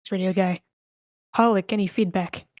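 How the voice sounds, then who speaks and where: A woman's voice: mid-range voice, fairly even intonation, normal speed, a little mellow, dry recording. Woman running an online feedback session, informal, mutters to herself a bit, close dry sound. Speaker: host of an online script table read. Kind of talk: casual meeting moderation, reading out chat comments. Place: indoors on an online meeting call.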